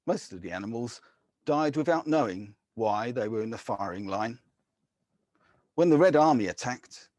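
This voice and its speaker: Male poet, lowish husky voice, deliberate poetry reading with offhand intros. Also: no echo. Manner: measured, deliberate reading with brief casual asides.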